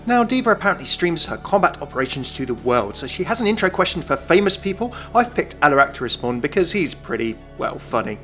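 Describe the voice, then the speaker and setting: Brisk, animated man, higher-pitched. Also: soft background music. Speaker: YouTube gaming presenter. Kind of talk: scripted video narration.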